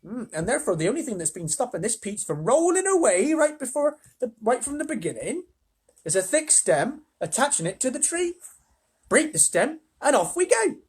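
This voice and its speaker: A reader voicing a gentle, motherly female ladybird in a storybook reading, with a husky tone. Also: medium pitch, very animated, normal speed, voice pushed up. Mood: explaining. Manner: gentle, reassuring.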